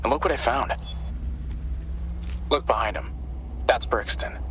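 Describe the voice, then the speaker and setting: A man's voice: mid-range voice, measured pace, fairly bright tone, slight background noise, natural voice. Man playing a wry young hacker. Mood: focused. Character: young adult male hacker ally, wry, sharp, probing. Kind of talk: dramatic game cutscene acting. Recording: music behind the voice.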